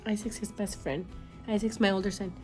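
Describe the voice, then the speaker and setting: A woman's voice: medium pitch, fairly even intonation, brisk, darkish tone, soft background music. A young woman with a stuffy, sore throat chatting casually to her phone camera, rambling with fillers. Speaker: vlogger. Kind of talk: casual vlog chat to camera. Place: indoors at home, then outdoors in snow. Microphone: phone held at arm's length.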